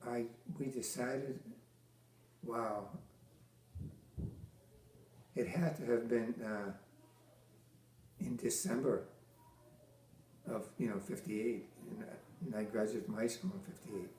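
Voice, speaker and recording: Older man slowly recalling, echoey room. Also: medium pitch, darkish tone. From Massachusetts. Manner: halting, many restarts and fillers, dry teasing humor. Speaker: veteran interviewee. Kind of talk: oral history interview.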